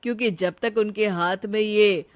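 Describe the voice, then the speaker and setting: Casting: woman as young husband, mid-pitched, matter-of-fact, gently teasing, animated domestic comedy. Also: normal speed, natural voice. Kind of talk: animated moral story dialogue.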